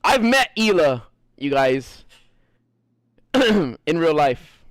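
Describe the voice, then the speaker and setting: An American man rambling to his stream audience in an animated, profane way, his high voice swinging up and down. The sound is close and dry, with no room around it. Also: drawn-out words, dry recording. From United States. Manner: animated, profane, laughs often. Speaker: gaming streamer. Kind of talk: casual chat while gaming.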